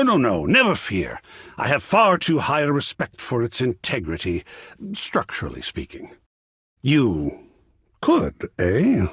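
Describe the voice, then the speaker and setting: A man's voice: mid-range voice, gravelly, breathy edge, dry recording, clean studio sound, exaggerated voice. An actor playing an effusive, sensitive male art connoisseur in a video game, speaking in a florid, theatrical, musing way. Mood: flustered, playful. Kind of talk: scripted game character dialogue.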